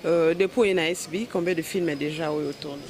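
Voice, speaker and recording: Man, high voice, quick interview questions, traffic behind. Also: steady tone, darkish tone. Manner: short questions mixing French and Lingala.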